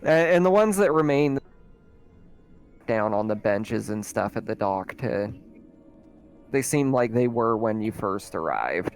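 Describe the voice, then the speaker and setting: A man running a tabletop roleplaying game as game master, narrating in a conversational, halting way. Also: fairly low pitch, lively intonation. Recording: music playing behind the voice. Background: background music.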